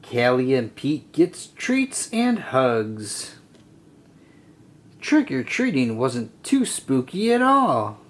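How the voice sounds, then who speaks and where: A storybook narrator reading aloud for children in an expressive, deliberate way. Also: mid-range voice.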